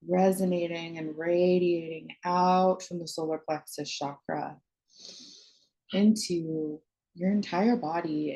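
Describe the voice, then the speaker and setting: Slow, low-voiced woman guiding meditation. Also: dark tone, low-quality recording. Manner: soothing, unhurried phrasing with long pauses. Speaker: meditation guide and astrologer.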